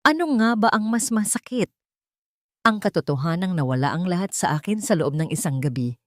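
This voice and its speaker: A woman's voice: fairly low pitch, lively intonation, a little fast, no echo, close to an everyday voice. A woman voicing a grieving, despairing young mother in a story, brooding and anguished. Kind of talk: dramatic audio storytelling.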